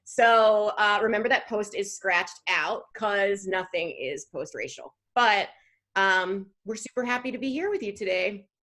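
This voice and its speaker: An upbeat podcast host, a woman with a bright, forward tone. She chats informally over a video call, giggly and easygoing. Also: medium pitch, expressive, measured pace.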